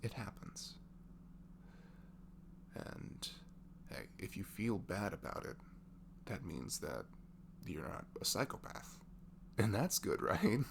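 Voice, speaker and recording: A young man softly leaving an intimate, improvised comfort message in ASMR style, tender and reassuring, murmuring and often slipping into a breathy whisper. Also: sits low, sing-song, takes it slowly, long pauses. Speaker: amateur audio performer playing a comforting friend. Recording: clearly noisy home recording with hum.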